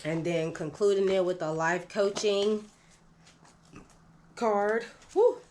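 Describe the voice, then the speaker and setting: Slow, nasal-sounding woman reading tarot. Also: mid-range voice, darkish tone, a little hiss or hum. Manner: slow, halting, many fillers and self-corrections. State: nasal from a cold. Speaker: tarot reader. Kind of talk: unscripted tarot reading to camera.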